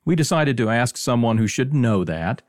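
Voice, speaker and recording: A man's voice: medium pitch, big pitch swings, normal speed, darkish tone, dry recording, clean studio sound. A man hosting a podcast in a conversational presenting style. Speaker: podcast host.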